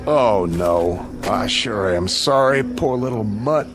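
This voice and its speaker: Low-voiced, rueful wagon driver. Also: male voice, animated, takes it slowly. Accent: American. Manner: plain, rueful, folksy. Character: apologetic, folksy wagon driver of the Old West. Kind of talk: radio drama acting.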